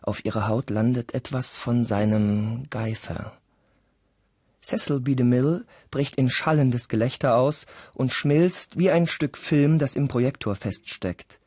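A man narrating a scripted radio play with deliberate, dramatic storytelling. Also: mid-range voice, darkish tone, husky, dry recording, studio quality.

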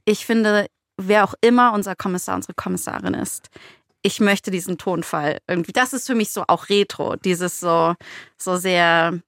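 A woman's voice: fairly low pitch, normal speed, fairly bright tone, dry recording, studio quality. A woman co-hosting a podcast in casual, informal and enthusiastic chat. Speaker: podcast co-host.

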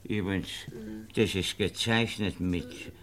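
A man's voice: deep voice, steady tone, slow pace, a bit bright. A man playing a deferential, obedient junior policeman in a radio drama, a little hesitant. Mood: dutiful. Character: dutiful, deferential low-ranking policeman.

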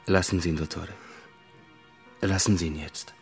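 A man acting a gently insistent consoler in a radio drama, speaking softly and coaxingly. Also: deep voice, fairly even intonation, normal speed, creaky. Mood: gentle, sombre. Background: music.

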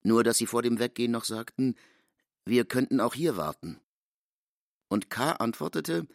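Lively, gravelly male literary narrator. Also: medium pitch, normal speed, no room sound, studio quality. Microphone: close, dry studio microphone.

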